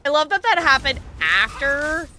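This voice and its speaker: Bright, lively woman game streamer. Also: high voice, a little slow, a little hiss or hum. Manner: chatty, playful, rambling. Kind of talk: casual live game stream commentary.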